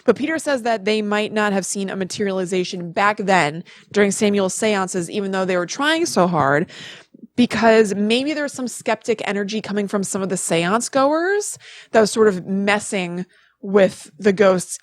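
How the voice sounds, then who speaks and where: A woman talks as a podcast co-host, explaining things in a casual, conversational way. Her pitch sits in the middle range for a woman. The sound is dry, with no audible room around her. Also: animated, moderate pace, no echo. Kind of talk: casual chat, retelling research.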